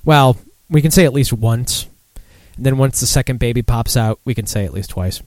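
A man's voice: medium pitch, moderate pace, a little mellow, no echo. A mildly tipsy man hosting a casual live podcast, rambling and swearing in a self-deprecating way. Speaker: podcast host. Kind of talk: casual live beer-news chat.

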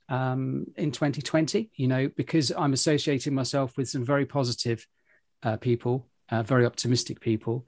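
A man's voice: medium pitch, no echo, studio quality. A man talking as a podcast guest, earnest and upbeat, rambling with many restarts. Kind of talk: casual interview chat.

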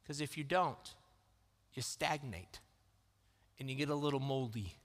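A man preaching a sermon in a calm, conversational way that rises into emphatic questions. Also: mid-range voice, unhurried. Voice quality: calm, soothing voice. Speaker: pastor. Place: church sanctuary. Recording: long reverb of a church hall.

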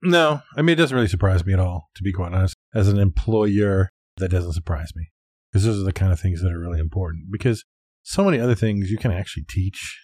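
A man co-hosting a podcast in casual conversation, measured and rephrasing as he thinks. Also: low-pitched, darkish tone, slightly rough, dry recording. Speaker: podcast co-host.

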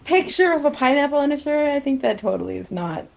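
A woman's voice: sits high, lively intonation, fast, vocal fry, dull audio. A woman in casual conversation as a co-host of a TV recap podcast.

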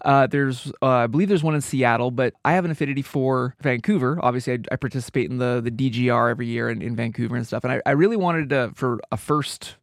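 A man co-hosting a casual podcast chat, nasal from a head cold, easygoing and quick to laugh. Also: mid-range voice, gravelly, no echo, clean studio sound. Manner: easygoing, laughs often, restarts words. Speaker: podcast co-host.

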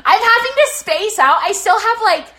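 A young woman sitting on her bed giving casual, energetic motivational business coaching on a live stream, swearing now and then, her voice slightly worn from talking too much. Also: extremely high pitch, moderate pace.

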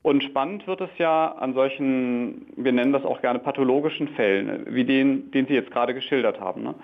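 A man's voice: medium pitch, creaky. Tinny phone sounding guy, mid voice, researcher being interviewed, explains carefully, keeps adding little tag questions. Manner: deliberate, frequent tag questions. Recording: thin narrow phone-like line.

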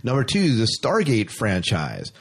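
Low-pitched male podcast co-host. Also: huge pitch swings, unhurried, breathy edge. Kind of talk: casual podcast chat, reading listener email.

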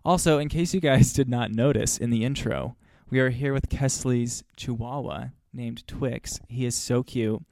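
A man chats easily as co-host of a laid-back podcast, upbeat and peppered with fillers. His voice carries a gravelly, fry-tinged edge. The sound is dry and close, with no room around it. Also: medium pitch, moderate pace, few pauses, a little mellow, no echo. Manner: chatty, upbeat, frequent "um" and "like". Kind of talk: casual chat.

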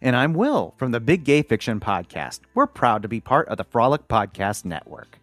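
A man's voice: mid-range voice, sing-song, normal speed, fairly bright tone, no room sound, faint music behind. A man who hosts a podcast, delivering a scripted promo and sign-off. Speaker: podcast host.

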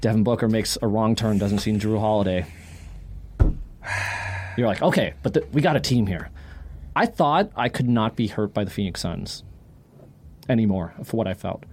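A man's voice: on the low side, expressive, normal speed, long pauses, darkish tone, a little hiss or hum. A man co-hosting a sports podcast in casual chat, talking emphatically and repeating phrases for dramatic effect.

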